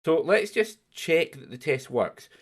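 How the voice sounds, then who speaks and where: A man hosting a livestreamed quiz in a chatty, teasing way. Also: unhurried. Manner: teasing, chatty, lively jumps in pitch. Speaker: quiz host. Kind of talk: casual livestream chat.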